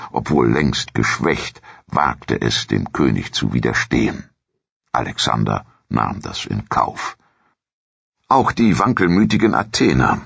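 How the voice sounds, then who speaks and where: A man narrating a scripted history documentary audiobook in a measured, formal reading manner. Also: extremely low pitch, gravelly, a bit bright, breathy edge, dry recording, lo-fi sound. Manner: measured, formal read delivery.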